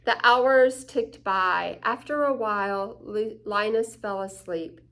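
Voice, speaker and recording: A woman's voice: medium pitch, slow pace. A woman reading a children's picture book aloud expressively, with little asides to her listeners. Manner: expressive, dramatic shifts between hushed and excited. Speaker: children's story time reader.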